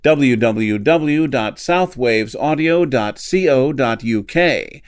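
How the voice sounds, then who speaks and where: A man's voice: mid-range voice, expressive, slow pace, smooth. A man reading scripted announcements and narration for an audio drama.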